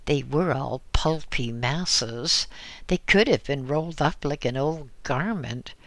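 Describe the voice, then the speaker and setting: A man voicing a grieving old widow who is frightened and desperate, with tearful, pleading outbursts that break high. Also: slow, fairly bright tone, dry recording. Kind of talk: audiobook reading.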